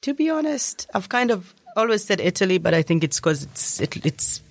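A woman's voice: mid-range voice, very animated, moderate pace, dry recording. A woman in her thirties, originally from Kenya, thoughtfully telling her story in a casual interview with frequent ums. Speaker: interview guest.

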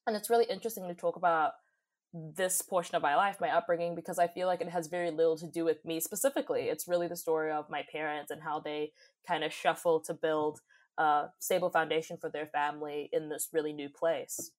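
A woman's voice: sits low, steady tone, brisk. A young woman, reflecting thoughtfully and expressively in a conversational interview. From New Zealand. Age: young adult. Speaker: podcast guest, youth advocate and poet.

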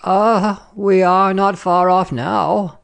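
A woman's voice: medium pitch, fairly even intonation, slow, vocal fry, pitched above the performer's own voice. A woman voicing a prim, nosy, smugly triumphant Frenchwoman from a story, with an insinuating, emphatic delivery. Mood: inquisitive.

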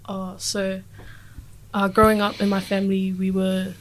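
Young woman, mid-pitched, casual, fillers, radio guest. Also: monotone, measured pace, a little hiss or hum, poor audio. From Fiji. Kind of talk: conversational interview answer.

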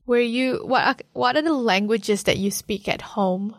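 A woman interviewing someone in a relaxed conversation, softly encouraging and often murmuring acknowledgements. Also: a little high, moderate pace, no room sound, clean studio sound. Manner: soft-spoken, encouraging with frequent murmured acknowledgements.

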